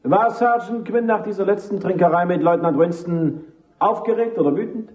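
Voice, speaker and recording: A man's voice: high voice, normal speed, darkish tone, dull audio, lightly acted. A man playing a cocky, vain army major in a German radio play, a military prosecutor who speaks formally and with self-assurance. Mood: formal, probing.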